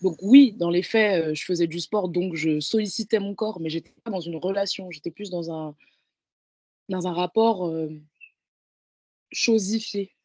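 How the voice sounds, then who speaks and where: Low-voiced young woman in dry sound. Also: measured pace, dark tone, dry recording. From Paris, France. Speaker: guest, professional handball player. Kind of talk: casual reflective conversation.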